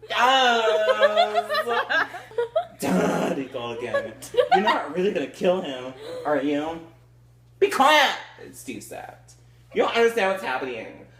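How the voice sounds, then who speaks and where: Brief: man reading a novel to friends, animated delivery, shouts character lines, echoey room. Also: sits high, takes it slowly, a crowd in the background. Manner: voices characters with loud shouted lines, laughs often. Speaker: reader of a novel aloud. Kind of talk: comedic group read-through with crude asides.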